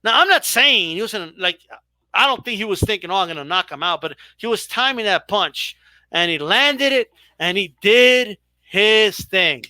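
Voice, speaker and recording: A man with a high, bright voice riffs loosely to his live chat about the fights, swearing freely and teasing the viewers. The sound is dry and close, with no room around him. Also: dry recording. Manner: profane, chatty, banters with viewers. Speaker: boxing live-stream host. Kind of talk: casual live commentary to chat.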